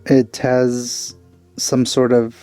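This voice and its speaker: Male let's-play host: unhurried, slightly dark voice, casual idle musing. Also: medium pitch, level tone, soft background music. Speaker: gamer, video host.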